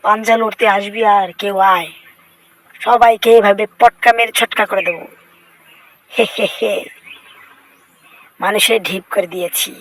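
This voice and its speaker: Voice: mid-range voice, fairly even intonation, unhurried, long pauses, fairly bright tone, poor audio. A voice actor dubbing a boastful, vengeful cartoon cat in speech, exclaiming, whining and bragging to himself in a comic fan-dub style.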